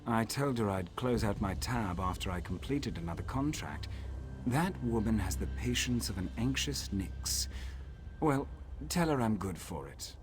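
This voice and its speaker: Wry, animated, cocky assassin. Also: male voice, on the low side, unhurried, background noise. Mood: casual, cocky. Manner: wry, casual, sly. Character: cocky, sardonic male assassin, wry and unbothered. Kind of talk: video game character acting.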